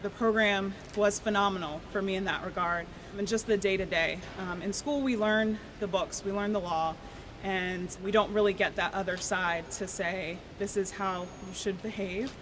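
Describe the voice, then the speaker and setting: A woman gives an earnest, reflective speech at a reception. Her voice sits at a middle pitch. The recording is noisy, with background hiss throughout. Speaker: law student speaker. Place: reception event.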